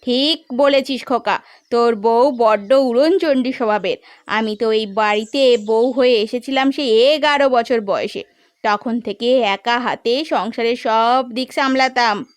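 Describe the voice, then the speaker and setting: A woman's voice: on the high side, unhurried, dry recording, close to an everyday voice. A woman voicing a stern, proud older mother-in-law in a cartoon story, reproachful and emphatic. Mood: reflective, grumbling. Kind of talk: animated story character acting.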